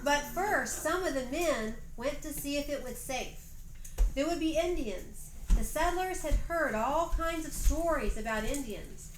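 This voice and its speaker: A woman narrating a homemade history play like a storybook, reading aloud expressively with deliberate phrasing, in a roomy home recording. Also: sits high, animated. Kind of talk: storybook narration for an amateur play, with informal stage directions. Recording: roomy echoey home recording, faint noise.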